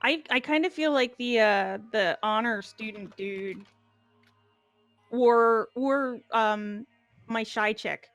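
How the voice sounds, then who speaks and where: A woman chatting casually while playing a game with friends. She is excitable and sometimes confused. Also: fairly high pitch, slow pace, dry recording, faint music behind. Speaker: player in a group playthrough. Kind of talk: casual chat.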